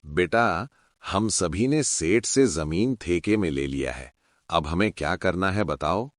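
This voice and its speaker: A man's voice: low voice, lively intonation, slow pace, no room sound, studio quality. A man voicing a cautious elder village farmer in a cartoon story, with a plain-spoken, doubtful manner.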